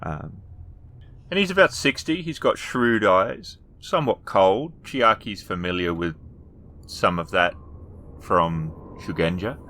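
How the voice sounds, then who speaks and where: Tabletop storyteller, male: low, leisurely delivery, halting improvised scene description. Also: lively intonation, sharp tone. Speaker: game master. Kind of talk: improvised tabletop game narration.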